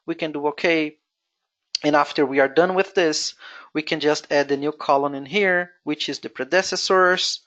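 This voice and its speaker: Brazilian accent, Portuguese first language, webinar presenter, step-by-step software tutorial, thinking aloud. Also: medium pitch, steady tone, moderate pace, dull audio. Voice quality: explains step by step, thinking aloud with frequent "you know". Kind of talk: screen-share software tutorial.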